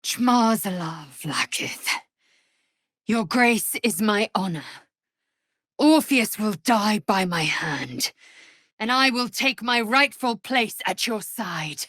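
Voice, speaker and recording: An actress voicing a fierce, proud alien warrior woman in a video game, husky and clipped, with a haughty formal delivery. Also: mid-range voice, expressive, takes it slowly, a bit bright, no echo, clean studio sound. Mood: reverent, proud. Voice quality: husky, breathy rasp. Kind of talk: video game character acting.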